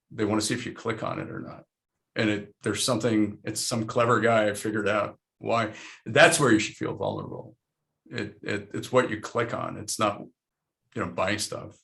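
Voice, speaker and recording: A middle-aged man from Lebanon answers panel questions in a loose, rambling, frank way. His voice sits low with a gravelly edge. He often restarts his sentences as he thinks out loud. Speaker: panel guest, investor. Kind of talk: casual panel conversation.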